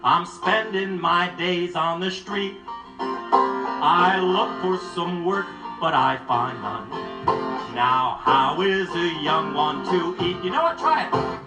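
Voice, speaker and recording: Male folk singer over loud banjo, roomy. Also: high voice, lively intonation, slow, over music. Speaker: folk singer performing live. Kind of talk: folk sing-along with spoken prompts.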